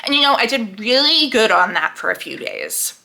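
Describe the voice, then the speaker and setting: A young woman talking casually to camera about what she is reading, chatty and quick to laugh, acting out her own reactions. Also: on the high side, wildly swooping pitch, vocal fry, bright, forceful tone. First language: English. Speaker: book vlogger.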